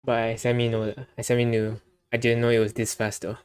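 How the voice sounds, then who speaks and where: A man's voice: medium pitch, completely flat delivery, a little fast, darkish tone, smooth. A man giving casual live commentary as he plays a game on stream.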